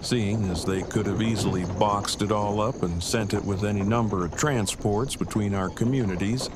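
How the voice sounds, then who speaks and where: A man's voice: lowish voice, lightly acted. A man playing an earnest, nerdy character who speaks in stiff, wordy, overly precise formal sentences in an audio drama. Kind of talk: fan audio drama dialogue. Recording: home recording with clear background noise.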